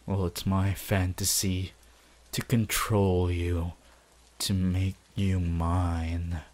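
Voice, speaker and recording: A man voicing a sinister young male villain in an ASMR roleplay, possessive and grandiose, speaking in a gloating, deliberate manner with dramatic pauses. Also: low-pitched, little pitch movement, a little mellow. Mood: possessive, hypnotic. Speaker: voice actor.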